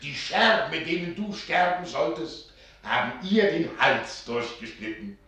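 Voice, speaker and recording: A man's voice: lively intonation, measured pace, bright, forceful tone, distant mic. A man playing a solemn, commanding elemental spirit in a radio play, proclaiming in stately tones. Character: solemn, commanding salamander spirit, old archivist. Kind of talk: German radio play acting. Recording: booming echo from afar, faint music behind.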